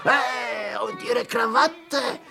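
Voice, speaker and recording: A man playing a boisterous, teasing soldier and would-be revolutionary in a radio play, brash and theatrical with a husky voice. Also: very high voice, extremely slow, music in the background, full character voice. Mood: drunken mockery.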